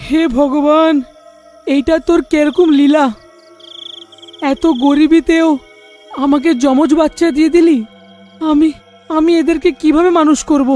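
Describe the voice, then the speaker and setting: A poor, guilt-ridden parent from a storybook tale as a woman performs the part. The voice is high, mellow and fairly monotone. It laments and apologises in despair. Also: a little slow, pauses often, faint music behind.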